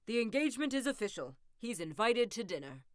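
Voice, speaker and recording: A woman voicing a gullible, greedy fairy-tale king who speaks in a loud, blustering way. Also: a little high, big pitch swings, brisk, no room sound, studio quality.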